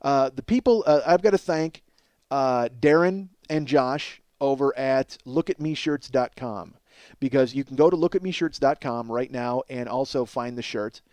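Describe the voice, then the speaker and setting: A man's voice: medium pitch, normal speed, darkish tone, clear, resonant tone, no room sound. A man hosting a podcast in a casual comedic monologue, rambling, cutting himself off, swearing and mocking himself. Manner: rambling, self-interrupting, profane; self-mocking. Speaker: podcast host.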